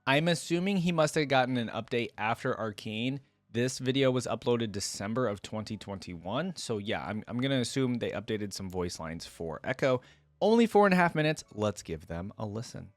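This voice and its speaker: A man's voice: medium pitch, animated, moderate pace, no echo. A man hosting a reaction video, giving casual, informal commentary close to the microphone. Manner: chatty, informal.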